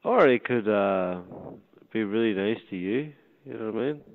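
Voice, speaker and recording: A man's voice: on the low side, lively intonation, moderate pace. Derry man on a tinny phone line. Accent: Northern Irish, Derry. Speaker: venue staff answering a phone booking. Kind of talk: casual phone conversation. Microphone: telephone. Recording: muffled narrow-band phone line.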